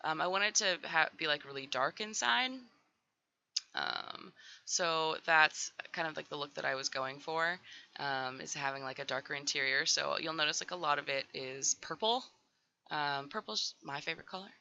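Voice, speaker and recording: A woman talks loosely over her build, a raspy morning voice still getting over a cold. Her tone is very bright and edgy, with a lot of fry in it. The recording sounds dull and lo-fi. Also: deep voice, normal speed. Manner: rambling, full of "um" and "like". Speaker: Sims builder doing a voiceover. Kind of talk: casual voiceover chat.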